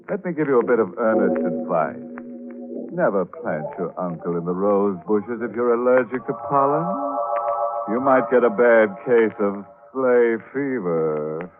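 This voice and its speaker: Actor as low-voiced macabre radio horror host. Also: male voice, close to an everyday voice. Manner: wry, mock-earnest, chuckling. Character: gleefully macabre horror show host, punning. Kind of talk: 1940s radio horror hosting. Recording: old tinny radio recording. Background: loud music.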